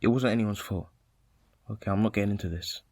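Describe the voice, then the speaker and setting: A man's voice: fairly low pitch, fast, a little mellow, no room sound, clean studio sound. A man acting as an awkward, placating young man in a naturalistic radio play, speaking hesitantly.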